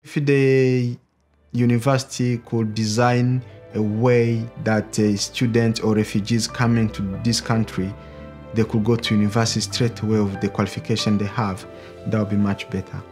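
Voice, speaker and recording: A man's voice: fairly low pitch, level tone, normal speed, a little mellow, soft background music. A man in his forties giving a frank, earnest interview answer in a room, with small hesitations.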